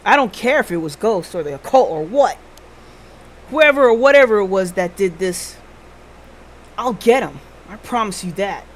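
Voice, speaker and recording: A woman voicing an eager, chatty junior detective from a visual novel, excitable and fascinated by the occult. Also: mid-range voice, moderate pace, pauses often, a little hiss or hum, close to an everyday voice. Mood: determined.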